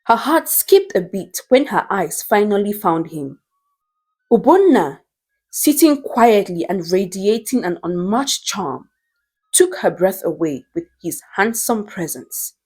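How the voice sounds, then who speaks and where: A woman reads a dramatic story as its narrator. Her pace is slow and deliberate, and her mid-pitched voice moves with lively expression through each phrase. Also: slightly rough.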